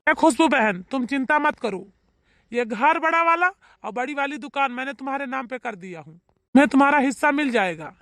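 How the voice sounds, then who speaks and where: A man voicing a devoted, gentle adult brother in a melodramatic cartoon, earnest, emphatic and reassuring. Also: extremely high pitch, animated, moderate pace, dry recording, clean studio sound, full character voice. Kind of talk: melodramatic Hindi cartoon family drama voice acting.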